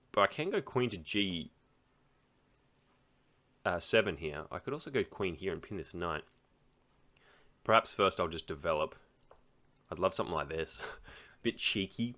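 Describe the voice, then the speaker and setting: A man's voice: deep voice, pushed and bright. An Australian man talking casually while playing online chess, rambling and thinking aloud, often laughing. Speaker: chess streamer.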